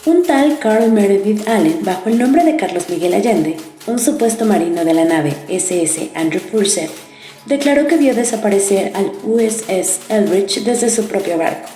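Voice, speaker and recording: A woman reading a scripted narration segment for a podcast in a measured, even way. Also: mid-range voice, soft background music. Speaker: podcast co-host. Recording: echoey room.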